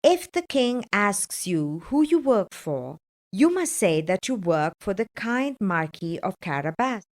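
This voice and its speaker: A woman's voice: mid-range voice, sing-song, measured pace. A woman voicing a clever, persuasive talking cat in a fairytale storybook reading. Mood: confident, persuasive. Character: clever, bold talking cat, servant to his master.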